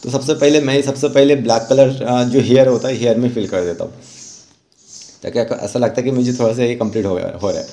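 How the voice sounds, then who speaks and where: A man with a soft-edged, mellow voice at a middling pitch talks through an art tutorial step by step. His delivery is informal and halting, with English terms mixed into his explanations. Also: fairly even intonation. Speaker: rangoli art instructor.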